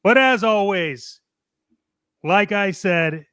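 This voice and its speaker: A man hosts a casual livestream in a fairly high, smooth and clear voice. He addresses viewers directly in an informal way, and the sound is dry with no room around it. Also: animated, slow pace, pushed and bright, no room sound. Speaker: show host. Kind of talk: casual livestream sign-off talk.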